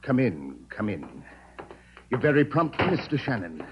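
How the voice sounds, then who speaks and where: An actor playing a suave, fastidious villain, pompous and precise, in 1950s radio drama style. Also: male voice, mid-range voice, big pitch swings. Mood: silky menace. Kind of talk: 1950s radio adventure acting. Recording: muffled, faintly noisy old radio recording.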